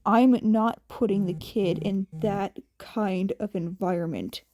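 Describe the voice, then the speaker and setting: A woman voicing a gruff, tired, blunt but protective man with a grudging deadpan delivery in a fan fiction audiobook. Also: mid-range voice, slow pace, voice pushed down, lightly acted. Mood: blunt, firm.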